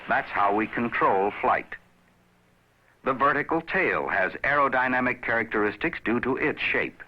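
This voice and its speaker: A man narrates a scripted educational film in a measured, instructive manner, addressing the viewer directly. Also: medium pitch, expressive. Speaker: narrator of a pilot training film. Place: recorded voice-over. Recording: dull, aged film soundtrack.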